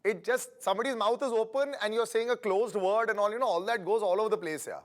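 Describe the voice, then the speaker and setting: High-voiced chatty male interviewer. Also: quick pace. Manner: chatty, long rambling questions with fillers. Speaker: entertainment interviewer. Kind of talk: casual interview questions.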